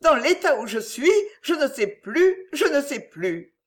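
A woman's voice: high voice, sing-song, a little slow, pitched above the performer's own voice. A woman voicing a self-pitying, scheming middle-aged mother in an audiobook, plaintive, melodramatic and wheedling. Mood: tearful, wheedling. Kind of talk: acted audiobook dialogue.

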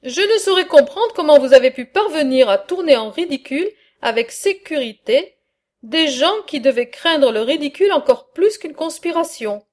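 A woman reading aloud the part of a wry ancient male satirist in a philosophical dialogue, in a measured, earnest way. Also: high-pitched, lively intonation.

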